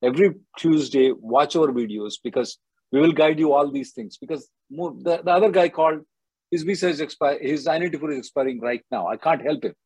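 Male call-in host, husky and dry, blunt short answers. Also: a little high, a little fast, a little mellow, no echo, rough recording. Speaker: immigration advice show host. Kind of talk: live call-in advice.

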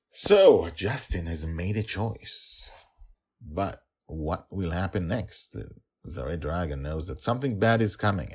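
Deep-voiced man narrating a podcast. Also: slightly rough. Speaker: podcast host and author-narrator. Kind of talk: scripted story narration with conversational hosting.

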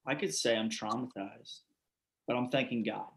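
A man's voice: mid-range voice, normal speed, vocal fry, fairly bright tone, a little hiss or hum. An actor playing a grateful male storm survivor in a readers theatre monologue, often saying "you know". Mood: reflective. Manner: reflective, repeats "you know".

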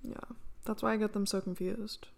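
A woman with a medium-pitched voice and noticeable vocal fry. She chats casually as a podcast co-host, answering briefly with dry, teasing remarks. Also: level tone, a little fast. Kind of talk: casual chat.

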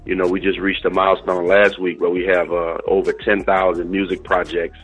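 A man's voice: low voice, level tone, brisk, fairly bright tone, husky, faint music behind, low-quality recording. A middle-aged man, talking informally as an interview guest on a radio call, peppering his talk with "you know". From New Orleans, American South. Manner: informal, uses "you know" and "bro" often. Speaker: interview guest, DJ and company owner.

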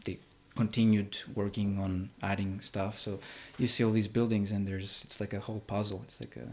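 Guy with a lowish voice in an interview, kinda halting, keeps restarting his sentences. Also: level tone, a little mellow. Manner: halting, repeats words while searching for phrasing. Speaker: filmmaker being interviewed. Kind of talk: interview conversation.

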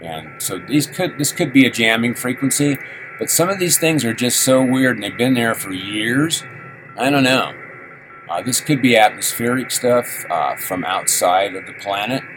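A man's voice: medium pitch, lively intonation, normal speed, music in the background, low-quality recording. A man hosting a radio show, musing aloud in a rambling way while he tunes a shortwave receiver. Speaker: radio host.

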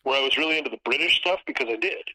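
A man in his fifties from California, telling stories from his youth in a relaxed conversation, measured and reflective, often restarting his sentences. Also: mid-range voice, low-quality recording. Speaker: guest. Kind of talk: casual conversation.

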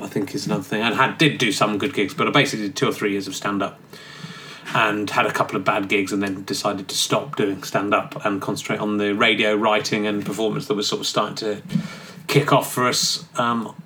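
A comedian looking back on his career, soft and self-deprecating with small hesitations. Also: on the low side, slight background noise, rough recording. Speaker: comedian hosting his own show. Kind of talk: reflective casual monologue.

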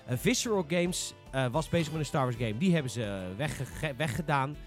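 Male podcast host, high-pitched, lively, frank and opinionated, casual chat. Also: normal speed, soft background music.

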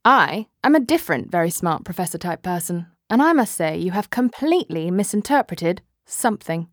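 A woman plays a self-important professor in a comic audiobook. Her delivery is haughty and accusatory, with highly animated pitch swings and a close, dry sound. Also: normal speed, dry recording. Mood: indignant. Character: indignant, self-important female professor objecting to a misreading.

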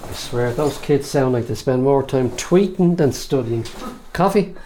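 An actor playing an easygoing, teasing husband chatting casually at home in a radio drama. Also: male voice, mid-range voice, animated, unhurried, mellow, a little hiss or hum, natural voice. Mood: teasing, cheerful. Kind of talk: radio drama acting, casual domestic chat.

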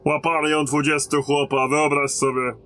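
An actor playing a weary lieutenant in command of a besieged garrison in a fantasy audio drama. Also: male voice, on the high side, little pitch movement, moderate pace, fairly bright tone, slight background noise, lightly acted. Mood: grim.

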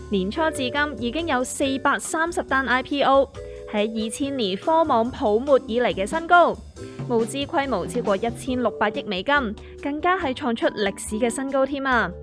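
Woman playing a lecturing market guru, Hong Kong Cantonese accent, raised pitch, emphatic radio-drama delivery, music playing underneath. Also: expressive, moderate pace. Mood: emphatic, alarmed. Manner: emphatic, explanatory. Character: dramatic know-all market guru who lectures friends. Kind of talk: comic radio drama acting. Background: background music.

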